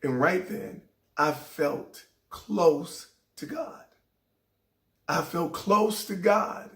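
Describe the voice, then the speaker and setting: A middle-aged man hosting a show, telling a heartfelt personal story in a raspy voice that sometimes cracks. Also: high-pitched, drawn-out words, echoey room. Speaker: show host. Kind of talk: inspirational personal talk.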